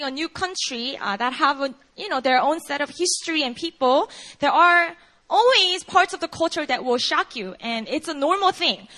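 A woman's voice: high-pitched, bright, forceful tone. A Korean woman whose first language is Korean, preaching a sermon in English with a Korean accent, in a conversational way full of "you know" fillers. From South Korea.

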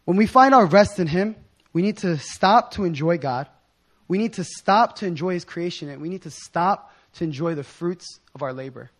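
A young man with a hoarse voice giving an informal sermon in a casual, slangy, excited manner. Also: sits high, moderate pace. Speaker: preacher.

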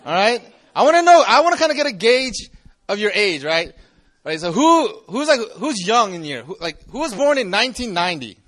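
Chatty high-voiced pastor in his forties. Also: big pitch swings, a little fast, a bit bright. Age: 40s. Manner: chatty, jokey, restarts often. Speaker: campus pastor. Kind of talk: casual youth sermon.

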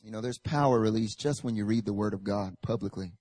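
A young male pastor with a hoarse, raspy voice from a recent chest infection, joking casually with the congregation and then reading Scripture and praying with rising fervor in a church service. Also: on the low side, quick pace, mellow, no echo, studio quality. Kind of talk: sermon.

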